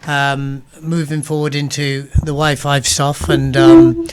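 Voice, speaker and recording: Relaxed boxing presenter, slightly high. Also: male voice, slow, clear, resonant tone. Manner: relaxed, chatty, agreeable. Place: radio studio.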